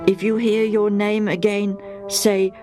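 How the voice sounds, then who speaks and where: Elderly priest played by a female storyteller, male, fatherly and puzzled, slow gentle speech, children's Bible tale. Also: medium pitch, little pitch movement, over music, pitched above the performer's own voice, natural voice. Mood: slow, solemn.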